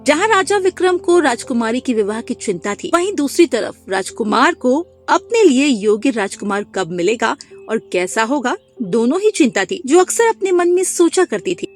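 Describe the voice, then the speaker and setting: A woman narrates a children's story from a script. Her voice is fairly high and lively in intonation, with light music behind it. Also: moderate pace, few pauses.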